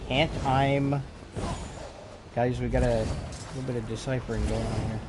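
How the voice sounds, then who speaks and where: A man from North Carolina with a North Carolinian accent, chatting casually with viewers as he plays and streams a game, softly clipping the ends of his words. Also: mid-range voice, fairly even intonation, moderate pace. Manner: chatty, reacts to the game while reading chat aloud; clips the ends of words, soft delivery. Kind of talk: casual livestream chat over gameplay. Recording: noisy stream mic with background noise.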